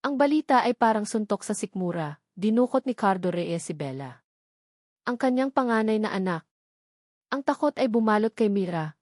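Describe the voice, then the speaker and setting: A woman's voice: medium pitch, a little mellow, no echo. Woman narrating a drama, dry close sound. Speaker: story narrator. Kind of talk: scripted dramatic narration of a love story.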